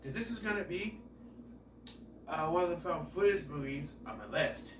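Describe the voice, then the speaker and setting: A man's voice: a little high, expressive, normal speed. A man in his thirties, stuffed up and nasal from a cold, giving a chatty, joking movie review full of asides and self-corrections. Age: 30s. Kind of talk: casual rambling film review. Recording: echoey room, distant mic, noisy background.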